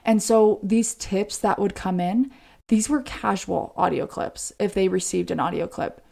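A woman's voice: mid-range voice, unhurried, creaky, dark tone. An American woman co-hosting a podcast, telling a true-crime case in a conversational way. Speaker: podcast co-host.

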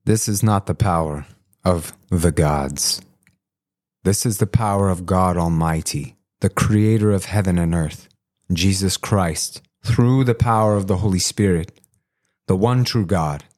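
A steadfast, devout apostle played by a man in a religious drama. His voice is very low, and he declares his faith with solemn weight. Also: measured pace, darkish tone. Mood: solemn conviction.

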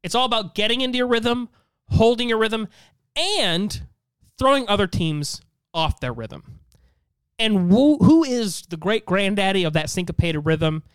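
A man's voice: high voice, animated, a little slow, clear, resonant tone, no room sound. A man co-hosting a podcast, speaking in casual panel chat. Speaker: podcast co-host.

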